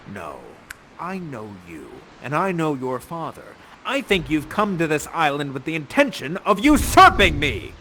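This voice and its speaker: Guy acting a bossy scheming magician dad, shakespeare style, pitch swooping around, faint noise. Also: sharp tone, exaggerated voice, low-quality recording. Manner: imperious, declaiming. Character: scheming, stern father and commanding island magician. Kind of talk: improvised Shakespearean stage acting.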